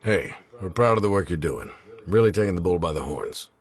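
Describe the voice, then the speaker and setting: A man acting out a police chief in a crime film read. His voice sits very deep and moves around in a lively way. He is folksy and gruff, a boss who likes a prank. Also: a little fast, full character voice. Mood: folksy, easygoing. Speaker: actor at a table read. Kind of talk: film script table read.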